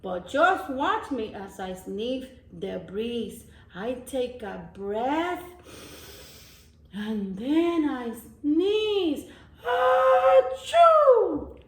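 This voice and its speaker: A woman voicing a helpful wildcat in a children's storybook, instructing in drawn-out phrases. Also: sits high, big pitch swings, exaggerated voice. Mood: eager. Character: helpful wildcat demonstrating a big sneeze. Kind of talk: children's picture-book read-aloud. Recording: echoey room.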